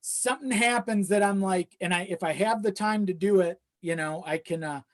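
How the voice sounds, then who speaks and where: Podcast guest for casual conversation: mid-pitched voice, self-deprecating, loose and profane. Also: normal speed. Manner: informal, sweary, self-deprecating. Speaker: video editor.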